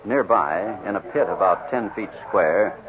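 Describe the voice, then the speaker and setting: An actor narrating a 1940s radio adventure as a grave, dramatic storyteller. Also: male voice, on the low side, a little slow, natural voice. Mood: grave, suspenseful. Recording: muffled 1940s radio, crowd behind.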